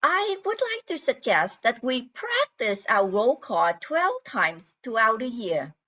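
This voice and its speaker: Woman as a prim, fussy, slow-spoken reindeer. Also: fairly high pitch, very animated, sharp tone, full character voice. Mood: prim, disapproving. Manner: prim, formal, precise. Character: poised, proper, fussy reindeer who demands politeness. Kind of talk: amateur radio play acting. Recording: slightly dull lo-fi sound.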